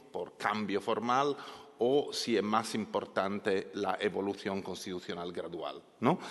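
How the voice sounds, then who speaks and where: Man, non-native speaker, panelist, hesitant with fillers, low voice, echoey hall. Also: a bit bright. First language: not Spanish. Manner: hesitant, many fillers and restarts. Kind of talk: academic panel talk. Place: large conference hall.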